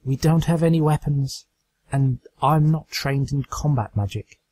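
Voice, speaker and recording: A man voicing a serious, rational female unicorn pony, firm but sorrowful, earnest and measured. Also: fairly high pitch, warm tone. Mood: grave.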